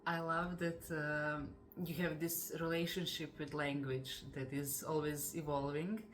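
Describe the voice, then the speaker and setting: Low-voiced woman host, faint noise. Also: level tone, measured pace, a bit bright. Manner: encouraging, laughs as she talks. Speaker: podcast host. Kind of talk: casual interview talk.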